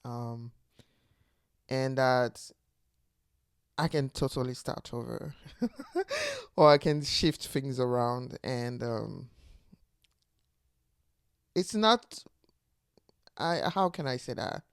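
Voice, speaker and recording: Woman, 40s, from Ivory Coast; unhurried, gravelly, thoughtful vlog delivery, dry sound. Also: a bass voice, wildly swooping pitch, dry recording. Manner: reflective, searching for words, with soft laughs. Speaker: channel host, teacher. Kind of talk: unscripted personal talk to camera.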